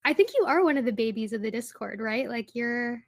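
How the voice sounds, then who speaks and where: Chatty young woman, slightly high voice. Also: a bit bright. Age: 20s. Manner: chatty, many "like" fillers, laughs often. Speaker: podcast co-host. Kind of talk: casual chat.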